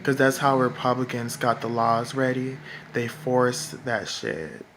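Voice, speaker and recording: A man with a feminine-sounding voice, talking in a rambling vlog monologue that is halting and full of fillers and restarts. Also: medium pitch, background noise. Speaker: political vlogger. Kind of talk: casual monologue to camera.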